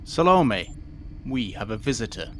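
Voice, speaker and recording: A man playing a brisk, wary space-platform official in a science fiction audio drama. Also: mid-range voice, sing-song. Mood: wary, businesslike. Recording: noisy vehicle-like ambience behind.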